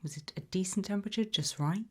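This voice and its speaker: A British woman doing an ASMR roleplay interview, asking gentle questions in a soft, breathy whisper. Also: mid-range voice, fast. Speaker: ASMR roleplay creator playing an investigator.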